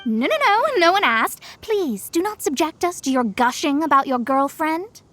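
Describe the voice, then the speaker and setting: A haughty young woman astrologer from a video game. Her high voice sweeps up and down theatrically, pompous and blunt. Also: lively intonation, moderate pace, fairly bright tone, faint music behind. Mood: exasperated. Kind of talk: animated video game voice acting.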